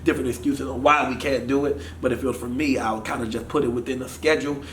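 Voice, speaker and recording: Man, thirties, from Miami, coach, animated casual talk, mid pitch. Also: fast. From Miami, Florida. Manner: animated, gestures while talking. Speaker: football offensive coordinator. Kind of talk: casual interview. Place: studio set with sponsor backdrop. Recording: echoey room with faint noise.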